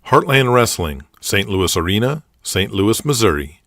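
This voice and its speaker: Male narrator, lower register, scripted dramatic storytelling with a music bed. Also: very animated, moderate pace, a bit bright, no echo, clean studio sound.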